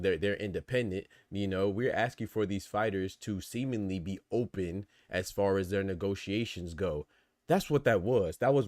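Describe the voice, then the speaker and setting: A man from the United States with a fairly low voice hosts a livestream talk. He speaks colloquially and casually, laying out his opinions to the chat. The sound is dry, with very little room on the voice. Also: dry recording. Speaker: boxing podcast host.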